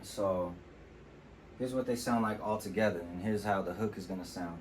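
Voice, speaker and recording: Deep-voiced man explaining a vocal mix. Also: moderate pace, slight background noise, rough recording. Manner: relaxed, explanatory, with fillers like "you know". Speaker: music producer demonstrating vocal mixing. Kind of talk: casual tutorial walkthrough. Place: temporary home studio.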